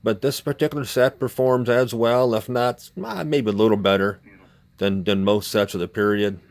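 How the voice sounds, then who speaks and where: A man giving an informal, unscripted demonstration as a collector, explaining things in a halting, explanatory way. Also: lowish voice, fairly even intonation. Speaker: vintage radio collector and presenter. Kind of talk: informal demonstration talk to camera.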